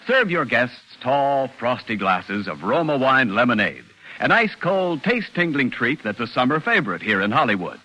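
A man's voice: mid-range voice, sharp tone, husky, poor audio. A man announcing a 1940s radio commercial with a polished, emphatic sales delivery. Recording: 1940s radio recording, music behind.

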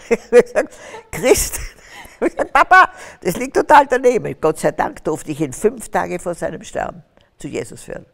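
Older woman, fervent preacher type, gravelly tone, hall reverb. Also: on the low side. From Austria. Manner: fervent, preaching, rising to emphatic bursts. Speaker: guest. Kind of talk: testimony interview on stage. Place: stage with curtains, large hall.

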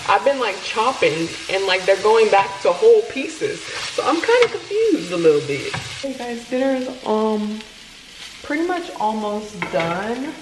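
A woman's voice: mid-range voice, sing-song, unhurried. Young woman vlogger, casual cooking chat to the camera, roomy kitchen with sizzling pans. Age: 20s. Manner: chatty, playful, talks to viewers while cooking. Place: home kitchen, indoors. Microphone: camera on the counter. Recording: echoey kitchen with frying noise.

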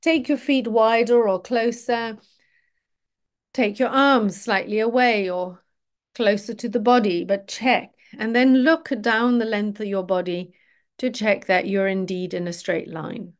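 A woman yoga teacher leading a guided relaxation meditation in a gently directive, instructional way. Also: mid-range voice, measured pace.

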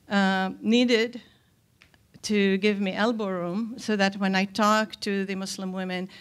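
An older woman from Lebanon speaks as a panelist at a podium microphone, lecturing in a deliberate, emphatic way with pauses mid-thought. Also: mid-range voice, a bit bright. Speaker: panelist, scholar and activist. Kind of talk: public lecture at a symposium. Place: indoor hall, speaking from a podium. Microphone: microphone on a stand.

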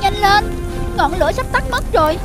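Very high-pitched, anxious little cartoon animal. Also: female voice, expressive, a little fast, over loud music. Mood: desperate pleading. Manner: urgent, pleading, exclamatory. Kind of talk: cartoon character acting.

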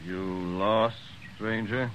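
An actor playing a cocky, mocking American outlaw who threatens with amusement in an old radio western. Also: male voice, on the low side, fairly even intonation, extremely slow, muffled recording, a little hiss or hum. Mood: wary, threatening. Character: menacing, amused male outlaw, cocky killer. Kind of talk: 1950s radio western acting.

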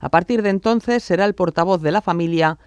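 Male narrator, high voice, scripted true-crime documentary. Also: a little fast, clean tone, no room sound, studio quality.

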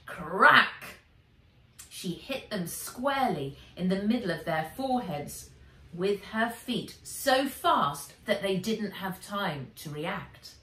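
A middle-aged woman reading an adventure story for children aloud, with expressive, dramatic, emphatic delivery. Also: medium pitch, slow pace, recorded from a distance. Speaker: author reading her own book aloud. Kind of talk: dramatic audiobook-style narration for children. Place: indoors, bright room with armchair. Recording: roomy, echoey, away from mic.

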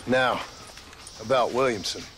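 Casting: male actor for hardened cowboy gunslinger, American Western accent, dry and laconic, medium pitch, noisy scene. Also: measured pace, natural voice. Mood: terse. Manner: laconic, deadpan. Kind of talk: video game western acting.

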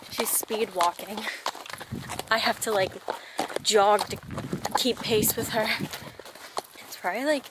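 A woman's voice: mid-range voice, slow, long pauses, very noisy. A young woman vlogging casually at a horse barn in the evening, bubbly and chatty, talking into a handheld action camera. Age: young adult. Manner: bubbly, chatty, laughs often. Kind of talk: casual vlog chat.

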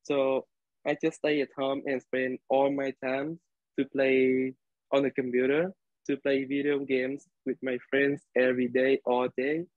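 Young man, Vietnamese accent, hesitant, flat and monotone, tinny laptop audio. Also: mid-range voice, unhurried, no echo. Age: young adult. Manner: hesitant, many fillers, nervous. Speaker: college student. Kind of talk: class storytelling speech. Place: living room indoors. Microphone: laptop webcam on video call. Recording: dry, tinny video-call audio.

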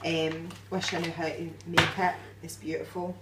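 A woman's voice: lowish voice, little pitch movement, normal speed, very noisy. A woman, chatting casually into a phone held at arm's length for a holiday vlog, rambling with lots of fillers. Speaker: vlogger.

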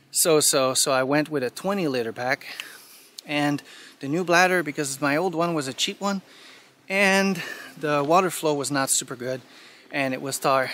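A man's voice: a little high, fairly bright tone, a little hiss or hum. A man talking to the camera while he climbs a steep mountain trail outdoors, cheerful and chatty with lots of fillers. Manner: cheerful, chatty, many fillers like "you know" and "uh". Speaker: hiking vlogger. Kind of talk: casual talk to camera while hiking.

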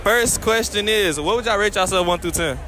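A man's voice: high-pitched, brisk, bright, forceful tone. A young man interviewing strangers on a busy street at night, hyped and playful, often repeating himself. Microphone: handheld mic. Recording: crowded street noise.

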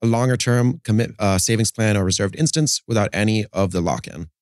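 A man's voice: lowish voice, a little fast, dry recording, studio quality. A man in his thirties, a startup co-founder, explaining his business fluently in an interview, often adding "right?", his voice slightly worn from a busy conference. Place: conference podcast studio set, indoors. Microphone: studio mic on boom arm, wearing headphones.

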